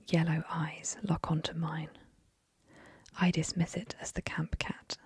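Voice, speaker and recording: Soft whispery lady reading a bedtime story, super breathy, dry close mic. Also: on the low side, dry recording. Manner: whispering softly throughout. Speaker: ASMR storyteller. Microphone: close ASMR microphone.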